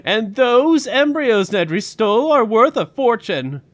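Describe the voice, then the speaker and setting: A man's voice: very high-pitched, big pitch swings, measured pace, no echo, exaggerated voice. A man playing an irritable, commanding elderly park owner and grandfather in an audio drama. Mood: angry, worried. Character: gruff, impatient old park owner, a grandfather. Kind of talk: audio screenplay drama acting.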